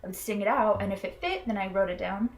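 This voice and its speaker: A woman's voice: mid-range voice, fast, rough recording. A young woman from Florida, a college student, earnestly explaining something in a hesitant way, with frequent ums and restarts. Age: young adult. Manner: earnest and self-conscious. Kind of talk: personal explanatory video.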